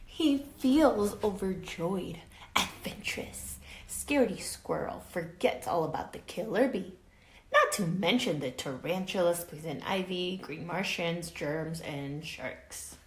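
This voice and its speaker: A woman reading a picture book aloud to children as a teacher, dramatic and expressive, pausing to ask her listeners questions. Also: lowish voice. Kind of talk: animated children's read-aloud with asides to listeners. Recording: roomy echo with faint background noise.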